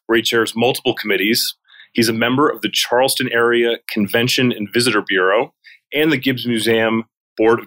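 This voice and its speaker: Fast, bright-voiced male podcast host. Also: medium pitch, low-quality recording. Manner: casual, filler-heavy questions. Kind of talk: conversational podcast interview. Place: campaign office.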